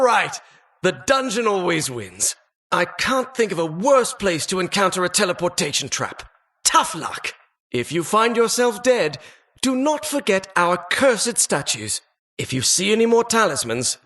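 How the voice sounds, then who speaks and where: A man's voice: high voice, pushed and bright, exaggerated voice. A man voicing a talking dungeon as a pompous, mock-polite, sardonic butler-like host in a video game. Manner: pompous, mock-polite, arch formal phrasing. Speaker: game announcer. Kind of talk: theatrical video game voice-over.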